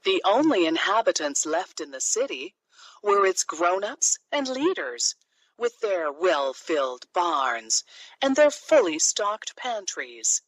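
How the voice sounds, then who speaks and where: A woman narrating a children's fairy tale from a script, in an expressive, deliberate storytelling manner. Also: fairly low pitch, no echo, rough recording.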